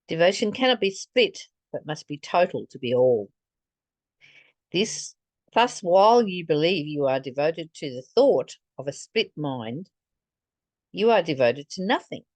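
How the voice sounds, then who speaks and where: A woman reading a spiritual text and commenting on it reflectively, in a deliberate, earnest manner, like a teacher addressing the listener. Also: deep voice, very animated, a little mellow, no echo. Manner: deliberate, earnest, posing rhetorical questions to the listener.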